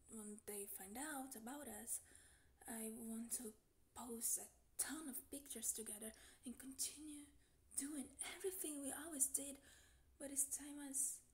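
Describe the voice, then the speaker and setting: A woman playing a nervous, clingy young woman in an intimate ASMR roleplay, halting and flustered, in a breathy, often whispery voice. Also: fairly high pitch. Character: nervous, clingy young woman confessing love to her friend. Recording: faint background noise.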